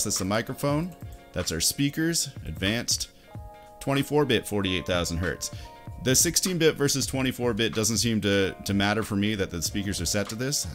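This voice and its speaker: Low-voiced man over background music. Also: fairly bright tone. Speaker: tutorial host. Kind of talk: casual software tutorial. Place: indoors at a computer desk.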